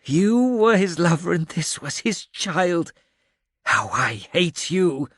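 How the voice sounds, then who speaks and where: A man's voice: fairly high pitch, lively intonation, takes it slowly, fairly bright tone, no echo, pitched above the performer's own voice, exaggerated voice. A man voicing a hate-filled old man with a dagger, wheezing and ranting. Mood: bitter hatred.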